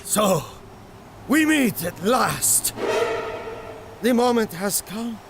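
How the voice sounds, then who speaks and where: An actor playing an obsessive, deranged big game hunter, forceful and melodramatic, in an audio drama. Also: male voice, sits high, sing-song, drawn-out words, background noise, exaggerated voice. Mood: menacing, frenzied.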